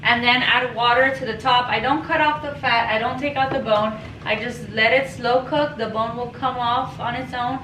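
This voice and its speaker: A young woman whose first language is Spanish, rambling casually and informally while making a home cooking video in her kitchen. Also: a little high. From Mexico. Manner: rambling, informal, self-deprecating. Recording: echoey kitchen with background noise.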